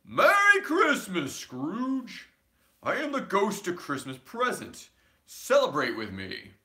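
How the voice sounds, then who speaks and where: Man doing a bright, proclaiming Christmas spirit. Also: sits high, wildly swooping pitch, slow, voice pushed up, heavily acted. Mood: jolly, booming. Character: commanding festive spirit, ghost of Christmas.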